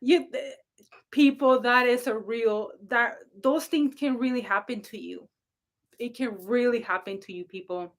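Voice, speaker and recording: A woman hosting a casual livestream, telling a story with a naturally loud voice, rambling and laughing between throat clears. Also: on the high side, a little slow. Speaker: livestream host, spiritual reader.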